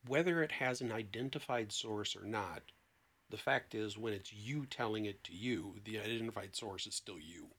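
Bright-voiced man explaining conversationally. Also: fairly low pitch, normal speed, husky. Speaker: therapist, podcast guest.

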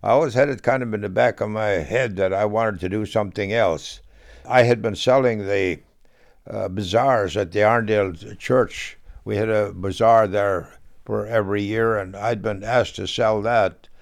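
A man around a hundred years old, Norwegian his first tongue, reminiscing in a slow, halting, folksy way. His low voice is gravelly yet smooth and resonant. It sounds close and dry, with no room around it. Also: steady tone, no room sound. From rural Minnesota. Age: about 100. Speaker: interviewee, auctioneer. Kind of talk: recorded reminiscence.

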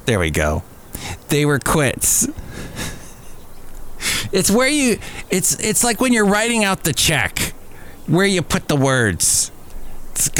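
A man's voice: fairly high pitch, a bit bright. A middle-aged American man hosting a podcast alone, talking casually in a rambling, jokey way with self-mocking asides. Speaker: podcast host. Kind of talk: casual solo podcast monologue. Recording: clearly audible background noise.

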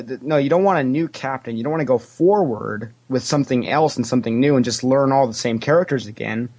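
A man talks as a guest in a relaxed fan conversation. His voice sits at an ordinary middle pitch. He states opinions firmly and repeats phrases to press each point. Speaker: podcast interview guest. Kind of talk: casual fan discussion.

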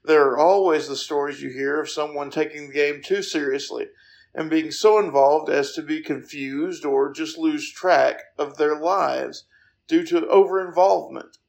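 A man's voice: fairly high pitch, moderate pace, gravelly. A man hosting a podcast, introducing a guest and setting up interview questions. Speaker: podcast host.

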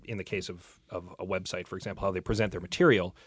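A man co-hosting a podcast, explaining things in casual talk with measured, slightly formal wording. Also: low-pitched, fairly even intonation, fairly bright tone, husky, dry recording. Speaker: podcast co-host. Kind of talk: casual conversation.

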